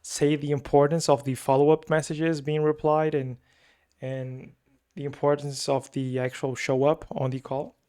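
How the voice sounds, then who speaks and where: A man's voice: fairly high pitch, little pitch movement, moderate pace, darkish tone, clear, resonant tone. A young man with a Brazilian accent, talking through his work week in a loose, rambling vlog with many fillers and repeats. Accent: Brazilian Portuguese. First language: Portuguese. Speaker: agency owner recapping his week. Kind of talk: casual weekly recap vlog.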